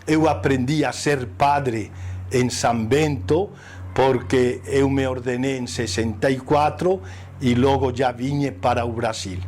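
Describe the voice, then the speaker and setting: An older man, a priest, speaking Portuguese with a Spanish accent, recalling the past slowly with hesitant pauses and fillers. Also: medium pitch, a little mellow, a little hiss or hum. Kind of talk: interview recollection.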